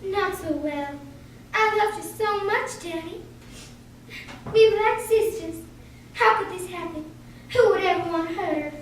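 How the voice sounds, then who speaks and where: Very high-voiced prim young woman, acted out. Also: a little slow, pauses often. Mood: grieving. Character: prim, restrained young woman, grieving her close friend. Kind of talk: amateur radio play acting. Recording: very echoey room, distant mic, clear noise.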